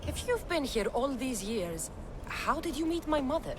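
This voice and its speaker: A woman's voice: high voice, big pitch swings, normal speed, background noise. A woman acting a tough, guarded young Greek warrior in a video game, blunt and defiant in manner. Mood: defiant, questioning.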